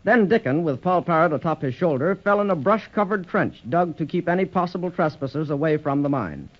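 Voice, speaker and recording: A man's voice: fairly high pitch, animated, a little fast. A man narrating a 1930s adventure radio serial from a script. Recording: muffled vintage 1930s radio recording.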